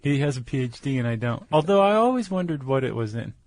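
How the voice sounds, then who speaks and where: A man co-hosting a podcast, explaining things in an informal, conversational way with loose analogies and a creaky, gravelly voice. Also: medium pitch, sing-song, quick pace, darkish tone. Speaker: podcast co-host. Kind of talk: casual explanatory chat.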